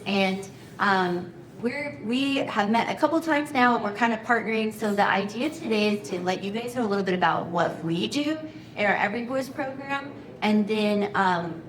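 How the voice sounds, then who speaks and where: A woman moderating a panel, addressing a room of students in an upbeat, organizing way with many fillers. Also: mid-range voice. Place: high school room. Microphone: handheld microphone.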